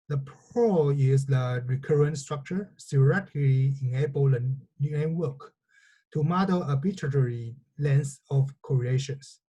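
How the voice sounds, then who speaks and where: Male lecturer, native Mandarin speaker, measured explanatory delivery, mellow tone. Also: on the high side, poor audio. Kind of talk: technical lecture.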